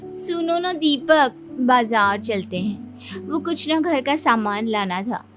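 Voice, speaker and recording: A woman plays a sweet young village wife with a high voice. She sounds coaxing and plaintive, as in an animated story, with faint music behind her. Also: slow. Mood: worried. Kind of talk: Hindi cartoon story voice acting.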